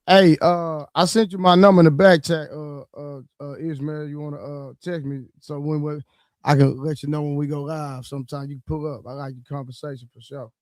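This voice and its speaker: Laid-back stream host, slightly higher voice. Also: male voice, flat delivery, a little fast, dry recording. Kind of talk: casual live-stream chat.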